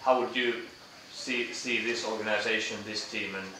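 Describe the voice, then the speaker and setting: A man's voice: lowish voice, steady tone. A man moderating a postgame press conference, asking questions in Finnish and English. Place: echoey press room. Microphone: distant room mic. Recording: echoey room audio from far off with noise.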